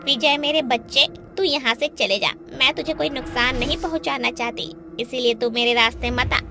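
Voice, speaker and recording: A woman's voice: sits high, normal speed. A woman voicing a vengeful witch ghost, a mother wronged by her village, in a menacing, theatrical cartoon horror style. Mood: stern, motherly. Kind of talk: Hindi cartoon horror voice acting. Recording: background music under the voice.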